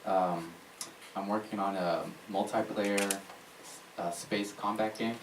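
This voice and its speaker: A man's voice: lowish voice, fairly even intonation, takes it slowly. A man from the United States who grew up in Germany, talking casually as a game developer on a panel. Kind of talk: casual self-introduction at a panel. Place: live talk event in a room. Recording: echoey room, distant mic, clear background noise.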